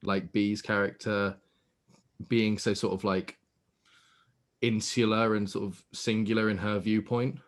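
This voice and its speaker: A man's voice: on the low side, flat delivery, measured pace, pauses often. A man giving casual notes as an actor in a script discussion, rambling tentatively through his thoughts.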